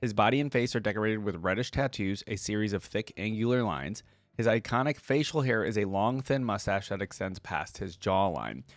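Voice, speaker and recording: A man with a fairly low voice hosts a reaction video in a casual style. He moves between offhand commentary and reading aloud, recorded close and dry with no room sound. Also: animated, fairly quick, dry recording.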